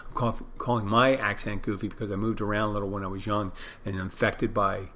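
A man's voice: lowish voice, flat delivery, brisk, bright, forceful tone, a little hiss or hum. A middle-aged man with a Philadelphia accent hosting a podcast solo, talking casually in a meandering, self-deprecating way and trailing off mid-thought. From Philadelphia, United States. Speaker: podcast host.

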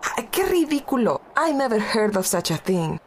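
A woman's voice: fairly high pitch, big pitch swings, moderate pace, slight background noise. An actress playing an older widowed woman who is motherly and teasing. She mixes Spanish endearments into her talk. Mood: wry. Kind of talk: audio drama acting.

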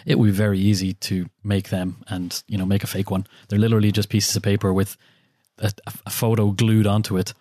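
Irish man, low-voiced, rapid, wry podcast co-host, dry booth sound. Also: flat delivery, dark tone, no echo. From Ireland. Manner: quick, wry and opinionated, chuckling. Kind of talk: casual chat.

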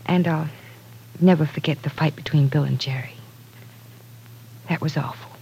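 A woman's voice: low voice, fairly even intonation, normal speed, warm tone, slight background noise, poor audio. An actress playing a candid, caring young woman in an old-time radio drama, conversational and reflective. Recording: vintage radio broadcast.

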